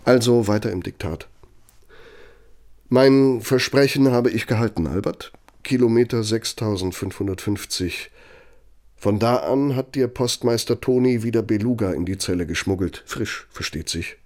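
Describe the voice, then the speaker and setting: An actor playing a pompous, bitter older former Nazi functionary dictating in a German radio play, sardonic and domineering. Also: male voice, fairly low pitch, moderate pace, darkish tone, natural voice. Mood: sardonic, reminiscing.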